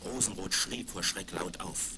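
A man's voice: sits low, measured pace. A man narrating a scripted fairy tale for children as storyteller, on a crackly 1970s vinyl record.

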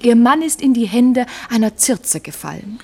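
A woman's voice: a little high, expressive, moderate pace, vocal fry, warm tone, slightly rough, full character voice. A woman acting in a German radio drama as a sardonic, jealous doctor and wife who needles with sarcasm. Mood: catty, mocking.

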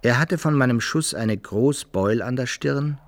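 An actor playing an adventurer who recounts his brawls and travels in measured, old-fashioned literary radio drama narration. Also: medium pitch, no room sound, close to an everyday voice. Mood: measured recounting.

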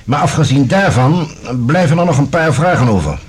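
A man acting a formal, authoritative general in a science-fiction radio drama, with a husky voice and a deliberate, questioning manner. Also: a little high, expressive. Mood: probing, measured. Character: authoritative male general, probing and measured questioner.

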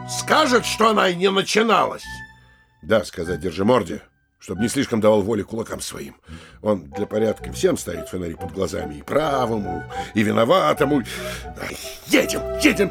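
An actor playing a flustered, corrupt middle-aged town mayor in a theatrical comedy audio play, swinging between muttering and exclamation. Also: male voice, mid-range voice, gravelly. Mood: agitated. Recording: music under the voice.

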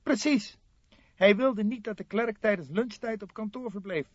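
An excitable young male detective from a crime audiobook, acted out by a male reader. He pitches high and speaks fiercely and insistently, quick to take offence. The recording is dry and a bit muffled. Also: breathy edge, no echo. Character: eager, vehement young male police detective, easily irritated.

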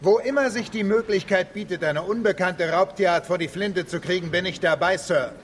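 A man's voice: high-pitched, normal speed, lightly acted. An actor playing a bold, cocky aristocratic male big-game hunter in a radio drama, in a reverberant hall. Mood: boastful. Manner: challenging, cocky. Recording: long hall reverb, faint noise.

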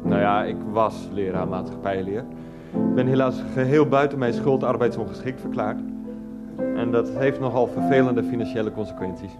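A man's voice: medium pitch, normal speed, loud music behind the voice, lightly acted. A man acting a whiny, self-justifying 39-year-old ex-teacher husband in a radio play, speaking hesitantly with padded phrases. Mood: defensive, self-important.

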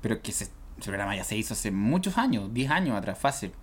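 A man's voice: fairly low pitch, big pitch swings, brisk, slight background noise. A man co-hosting a comedy podcast, needling his partner in teasing banter.

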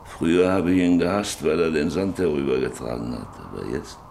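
An actor playing a sick, stubborn, lonely old rancher in a German radio play, halting and wistful, lost in memories. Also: male voice, rumbling low, creaky, darkish tone. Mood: wistful, haunted. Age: older. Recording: noisy radio play with ambient sound.

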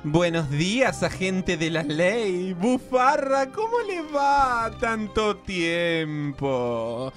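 A man's voice: sits high, expressive, extremely slow, few pauses, fairly bright tone, soft background music, full character voice. A man acting a slick, scheming male businessman who offers bribes, in an amateur radio play. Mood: smarmy. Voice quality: smarmy, wheedling.

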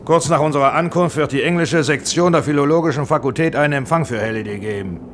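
Ein Schauspieler spielt in einem deutschen Hörspiel einen herrischen, ungeduldigen Filmproduzenten, knapp und umgangssprachlich. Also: male voice, on the high side, quick pace, a little hiss or hum, natural voice. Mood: stern, threatening. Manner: curt, colloquial, commanding. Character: bossy, impatient, blunt Hollywood film producer. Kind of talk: German radio drama acting.

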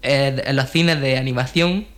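A man's voice: mid-range voice, fairly even intonation, measured pace, fairly bright tone. A man from co-hosting a radio show, in casual chat and read-out narration. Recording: faint music under the voice. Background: faint music.

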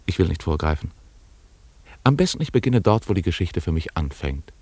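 A man's voice: sits low, very animated, fairly quick, a little mellow, no room sound, full character voice. An actor playing an urgent, impatient New York private detective in a German radio play, who also narrates his own story. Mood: measured, confiding.

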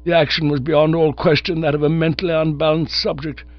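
A man voicing a pompous, indignant elderly gentleman who speaks in stiff, formal sentences in an audiobook. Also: on the high side, flat delivery, a little fast, dry recording, dull audio, voice pushed up, lightly acted. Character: pompous elderly male nerve specialist.

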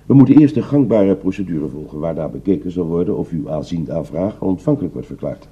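A man's voice: low-pitched, lively intonation, a little fast, breathy edge, phone-quality sound. An actor playing a detached male asylum official in a radio drama, speaking in formal, bureaucratic phrases.

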